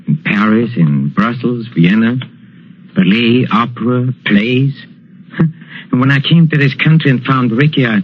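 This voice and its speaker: An actor playing a cultured, nervous European impresario turned fight manager, overly polite and halting, in 1940s radio suspense style. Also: male voice, medium pitch, breathy edge. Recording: muffled vintage radio recording.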